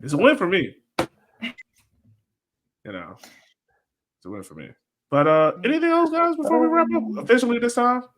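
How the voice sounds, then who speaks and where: A man's voice: very high voice, very animated, unhurried, pauses often, noisy background. A man hosting a casual sports podcast chat, easygoing and slangy, often repeating phrases for emphasis. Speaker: podcast host.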